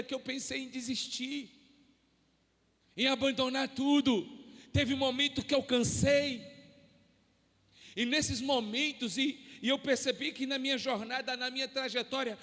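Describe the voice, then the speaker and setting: High-voiced preacher in a reverberant hall. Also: male voice, steady tone, a bit bright. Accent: Brazilian Portuguese. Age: 40s. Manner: impassioned preaching, drawn-out emphatic phrases. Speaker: pastor. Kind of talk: sermon. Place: church hall.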